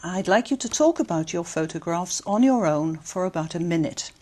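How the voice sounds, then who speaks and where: A woman examiner puts her questions in a lively, rising and falling voice. She sets out each task in clear, measured steps and murmurs short acknowledgements between the answers. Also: fairly low pitch. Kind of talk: scripted exam questions.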